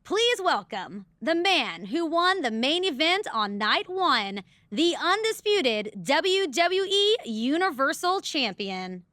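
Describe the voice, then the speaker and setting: Female wrestling co-host, high, bright voice, teasing, game broadcast. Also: very animated, takes it slowly, few pauses, dry recording, clean studio sound, full character voice. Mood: upbeat. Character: lively, teasing female sports show co-host. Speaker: interview co-host. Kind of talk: broadcast presenting in game cutscene.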